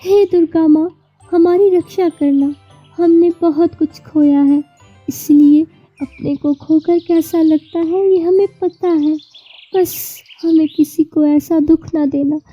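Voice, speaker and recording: A woman's voice: very high voice, fairly even intonation, slow, mellow, faint music behind, voice pushed up. A woman voicing a devout, protective young big-sister sparrow in a cartoon, speaking earnestly. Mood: devout, pleading. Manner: earnest, reassuring.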